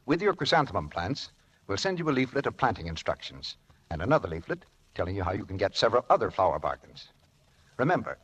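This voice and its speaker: A man announcing a sponsor offer in emphatic, deliberate, salesmanlike 1940s radio style. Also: on the low side, fairly bright tone, husky, highs cut off. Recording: vintage radio broadcast.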